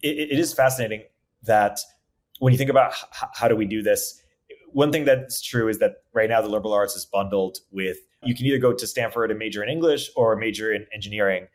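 A man hosting a conversational podcast interview, with a halting delivery that repeats words as thoughts form. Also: on the low side.

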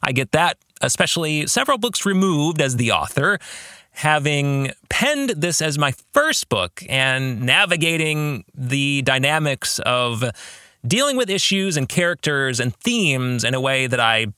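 Slow, bright-voiced man with animated pitch. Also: clear, resonant tone, no echo, clean studio sound. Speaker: podcast host and novelist.

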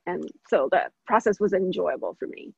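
Indian-born woman, gravelly and husky. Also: on the low side, normal speed, no echo, highs cut off. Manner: animated, laughs often, many fillers. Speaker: interview guest, artist. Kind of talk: casual interview conversation.

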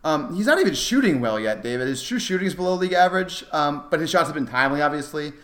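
A man's voice: a little high, lively intonation, fairly bright tone. A man co-hosting a casual sports podcast, talking in an enthusiastic, emphatic way. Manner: enthusiastic, emphatic, quick to laugh. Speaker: podcast co-host.

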